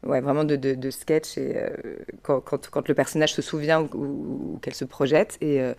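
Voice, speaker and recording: A woman's voice: rumbling low, little pitch movement, normal speed, creaky, darkish tone. A young woman comedian, speaking casually as an interview guest, often hesitating and repeating words as she corrects herself. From Paris region, France. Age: young adult. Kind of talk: casual spontaneous interview talk.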